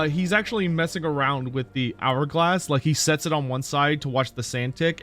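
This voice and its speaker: Male tabletop player, slightly high voice, deliberate descriptive narration. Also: a bit bright. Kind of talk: describing his character's actions.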